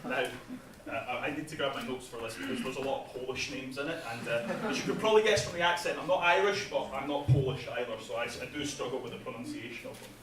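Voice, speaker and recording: A man giving a chatty conference talk about his game, laughing at his own jokes and filling pauses with ums. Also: medium pitch, animated. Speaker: game developer presenting at an industry event. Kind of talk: casual conference presentation. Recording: echoey hall, speaker far from mic, faint noise.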